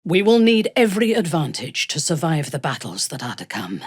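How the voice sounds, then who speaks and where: An actress playing a ruthless, haughty dark elf warrior in a video game, cold, commanding and menacing in her measured delivery. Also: low-pitched, very animated, breathy edge, no room sound, heavily acted. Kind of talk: video game character voice acting.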